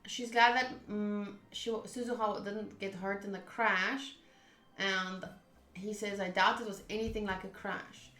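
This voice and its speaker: A woman reads a visual novel aloud in a bright, forward voice and casual streamer style. The recording is roomy and echoing, with music playing behind her. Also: medium pitch, fairly even intonation, a little slow. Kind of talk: narration read aloud with casual reactions. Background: game music.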